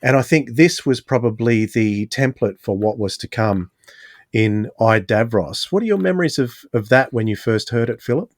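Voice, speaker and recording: A man hosting a conversational discussion, putting questions to the panel in measured short phrases. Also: medium pitch, expressive, no room sound. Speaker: podcast host.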